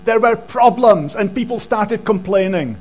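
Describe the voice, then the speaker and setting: A man with a notably high voice preaches in short, measured phrases. He pauses after each line so it can be translated, and faint music plays behind him. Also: lively intonation, a little mellow. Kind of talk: sermon with interpreter.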